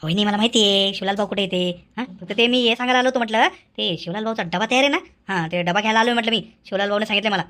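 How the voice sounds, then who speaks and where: A woman's voice: mid-range voice, rapid-fire, few pauses, fairly bright tone, lightly acted. A woman voicing an impatient, money-minded village man in a comedy cartoon, repeating phrases. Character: hurried, money-minded male village go-between. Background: faint music.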